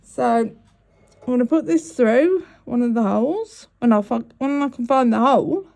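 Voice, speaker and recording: A woman's voice: a little high, lively intonation, a little slow, gravelly, a little mellow. A woman, sniffly with a cold, talking through each step of making a bracelet in a chatty, apologetic way. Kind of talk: crafting tutorial.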